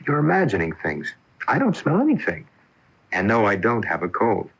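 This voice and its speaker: An actor playing a brisk, dismissive young lawyer boyfriend in a radio mystery drama. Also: male voice, fairly low pitch, huge pitch swings, fairly bright tone, breathy edge, heavily acted. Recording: dull, lo-fi AM radio tape.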